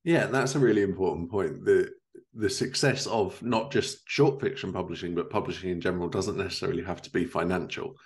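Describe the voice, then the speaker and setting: A man's voice: deep voice, brisk. A man in his thirties hosting a podcast in a casual interview style. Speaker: podcast host. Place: living room, indoors. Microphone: video call.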